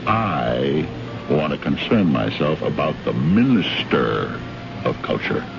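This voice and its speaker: A man's voice: a bass voice, big pitch swings, takes it slowly, gravelly, music in the background, heavily acted. An actor playing an officious, overbearing man from a government ministry in an old-time radio drama, brusque, blustering and given to lecturing. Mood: insistent. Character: officious, overbearing male trade official, jealous and dismissive. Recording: dull, noisy vintage radio recording.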